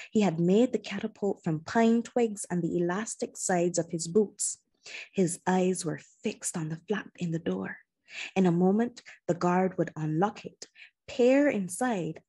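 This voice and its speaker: A woman reading an adventure novel aloud as a storyteller, with expressive, dramatic and deliberate phrasing. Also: on the low side, breathy edge. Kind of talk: expressive scripted narration of a children's adventure novel.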